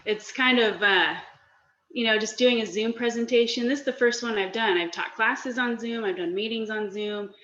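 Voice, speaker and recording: A woman's voice: on the high side, moderate pace, a bit bright. A woman in her forties from Northern California, a personal chef giving a casual, friendly presentation from her home kitchen over a video call. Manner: friendly, chatty, a little nervous. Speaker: guest speaker, personal chef. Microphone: laptop or webcam mic on a video call. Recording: echoey, dull video-call audio.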